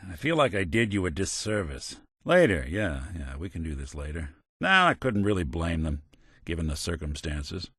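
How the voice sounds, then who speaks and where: A principled, world-weary android gumshoe from a video game, American and hard-boiled. His mid-pitched male voice moves expressively up and down with wry, deliberate phrasing. The recording is dry and close, with no room sound. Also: a bit bright, no room sound. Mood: subdued, regretful. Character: world-weary synthetic detective, gruff, principled, sardonic. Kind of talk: film-noir detective game voice acting.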